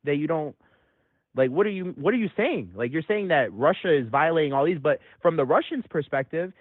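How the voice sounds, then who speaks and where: Excitable man on a tinny phone line. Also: brisk, no echo. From Metro Detroit, USA. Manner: excitable, voice jumps high when agitated. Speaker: caller. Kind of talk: heated call-in debate.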